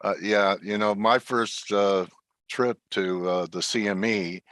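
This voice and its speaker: A man from Chicago hosting a casual interview over a video call, chatty and full of quick interjections. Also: fairly low pitch, fairly even intonation, a bit bright, dry recording. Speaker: host, trading coach.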